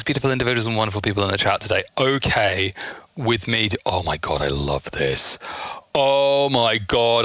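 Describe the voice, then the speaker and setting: A man's voice: mid-range voice, bright, forceful tone, dry recording. A man hosting a livestream, chatting casually to his audience in a sweary, effusive, theatrically exasperated way. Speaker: livestream host.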